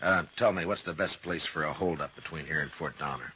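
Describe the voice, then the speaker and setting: An actor playing a steady, authoritative American frontier marshal in 1950s radio western style, measured and terse. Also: male voice, extremely low pitch. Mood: businesslike. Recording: dull, noisy 1950s radio recording.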